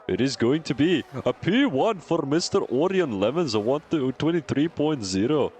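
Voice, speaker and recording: A young man with a Belgian accent doing live commentary on a sim racing stream, excitable and chatty, laughing and rambling between calls. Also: a little high, normal speed.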